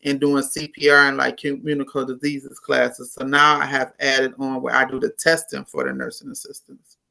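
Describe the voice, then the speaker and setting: Bright-voiced woman in her fifties. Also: a bass voice, flat delivery, moderate pace, vocal fry. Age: 50s. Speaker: nurse educator guest. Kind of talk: casual interview conversation.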